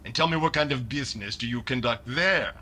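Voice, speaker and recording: A man's voice: medium pitch, fairly quick, sharp tone, lightly acted. A man playing a mildly baffled tax official, formal and hesitant, as straight man in a radio sitcom. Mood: probing, polite. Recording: noisy recording with room ambience.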